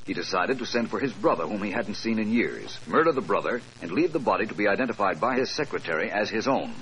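An American man playing a suave, self-assured detective in a 1940s radio drama, speaking in measured, precise phrases. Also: fairly low pitch, animated, a bit bright. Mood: explanatory, assured. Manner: measured, precise, probing questioning and dictation. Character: suave, self-assured male detective solving a murder case. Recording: noisy old 1940s radio recording.

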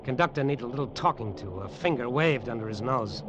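A man's voice: mid-range voice, animated, a little fast, close to an everyday voice. An actor playing a cynical, menacing tough guy in an old radio drama, clipped, slangy and hard-boiled. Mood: wry, brooding. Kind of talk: old radio drama acting and noir narration. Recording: muffled, noisy old radio recording.

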